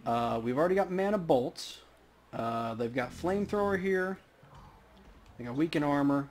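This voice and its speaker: A man's voice: a little high, lively intonation, moderate pace. A man hosting a let's play, giving casual running commentary on the game. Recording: faint background noise.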